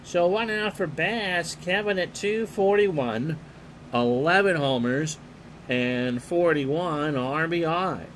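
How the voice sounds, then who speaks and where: A man calling a replayed baseball game play-by-play in a calm, unhurried way, reading player stats and chatting with viewers. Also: on the high side, a little hiss or hum. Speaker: play-by-play announcer and streamer.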